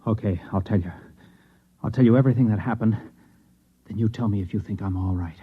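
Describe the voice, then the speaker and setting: An actor playing a dismal, shaken Martian man, halting and earnest, in an old-time radio drama. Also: lowish voice, slightly rough. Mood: gloomy, uneasy. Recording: dull old radio recording.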